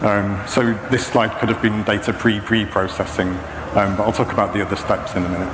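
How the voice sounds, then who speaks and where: A man in his thirties presenting a technical talk, explaining step by step in a measured, hesitant way. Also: lowish voice, level tone. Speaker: conference speaker. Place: indoor talk stage with projection screen. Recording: echoey hall PA with clear background noise.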